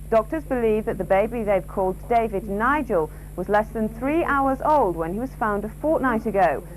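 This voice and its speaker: A woman reads a regional news bulletin from a script. Her voice sits at an average pitch, with faint noise and hum from an old television broadcast. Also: a little mellow, poor audio. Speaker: regional newsreader.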